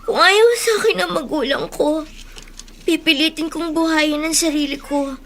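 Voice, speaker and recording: A woman's voice: high-pitched, moderate pace, a bit bright, a little hiss or hum. A woman with a Filipino accent playing a hurt, abandoned runaway girl in a radio drama, plaintive and emotional. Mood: sad, resolute. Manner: emotional, plaintive, polite to elders. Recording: music under the voice.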